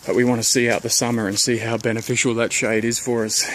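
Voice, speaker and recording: A man, thinking aloud at an unhurried pace as he walks around his house and plans renovation work, pausing often with ums and restarts. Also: medium pitch, flat delivery, a little hiss or hum, poor audio. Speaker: homeowner giving a renovation tour. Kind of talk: casual thinking-aloud walkthrough. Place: outdoors around a house, under a deck and in a garden. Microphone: handheld camera held by the speaker.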